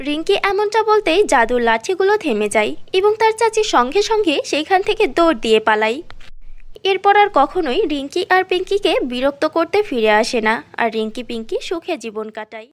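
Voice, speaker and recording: A woman's voice: sits high, big pitch swings, normal speed. A woman narrating a cartoon fairy tale as a storyteller from a script.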